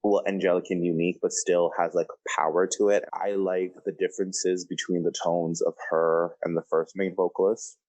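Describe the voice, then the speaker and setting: A low-voiced man in casual podcast conversation. His pitch sits well down in the male range, and he talks informally as a co-host. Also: little pitch movement, normal speed, hardly any pauses, a little mellow, low-quality recording.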